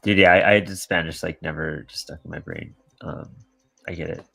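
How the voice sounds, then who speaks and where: A man's voice: sits low, fairly even intonation, normal speed, gravelly, bright, forceful tone, husky, no room sound. A man chatting casually on a video call, laid-back with slang, often trailing off. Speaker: guest, comics creator. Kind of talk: casual chat.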